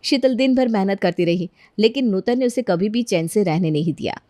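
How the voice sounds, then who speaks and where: A woman's voice: mid-range voice, normal speed, dark tone, dry recording, clean studio sound. A woman narrating a scripted cartoon bedtime story as the storyteller.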